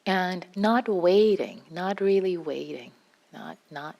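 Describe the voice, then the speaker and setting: A woman with a Farsi accent, a meditation teacher giving an unscripted dharma talk in a gentle, halting way with many restarts. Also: fairly low pitch, animated, creaky, lo-fi sound. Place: meditation hall.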